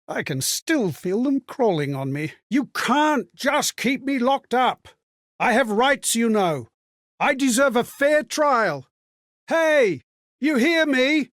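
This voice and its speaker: A man's voice: very high-pitched, no room sound, studio quality, heavily acted. A man playing an earnest, sorrowful male companion in a game, who relives other people's memories in reflective, theatrical monologues. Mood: panicked, pleading. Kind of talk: dramatic game voice-over monologue.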